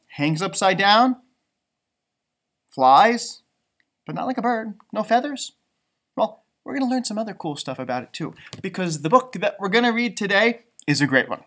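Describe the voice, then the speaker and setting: Very animated man reading to children. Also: high-pitched. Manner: expressive, playful, asks listeners questions. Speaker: elementary school storybook reader. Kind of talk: animated children's read-aloud with asides. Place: indoors, school library.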